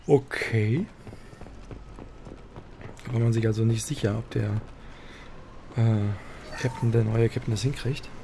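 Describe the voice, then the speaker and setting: A man doing casual let's play commentary in a creaky voice. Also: lowish voice, steady tone, a little slow, pauses often. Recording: clear game noise behind voice. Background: video game sound.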